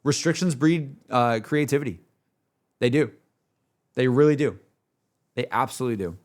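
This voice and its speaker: A man's voice: medium pitch, animated, normal speed. A man giving a casual, rambling livestream reaction, peppering his talk with filler words like a laid-back chat among friends. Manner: rambling, heavy use of "like" and "dude". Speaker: streamer reacting to game music.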